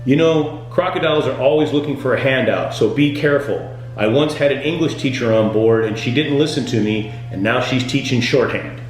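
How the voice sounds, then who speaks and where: A man delivering scripted comedic tour-guide patter as a boat skipper, with deadpan, punning jokes. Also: mid-range voice, a little fast, hardly any pauses, slight background noise. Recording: very echoey room, music underneath.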